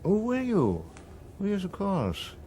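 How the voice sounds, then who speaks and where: Shrewd senior politician, animated. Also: male voice, high-pitched, a little slow, slight background noise, full character voice. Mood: hastily agreeable. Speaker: radio actor. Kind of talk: radio drama acting.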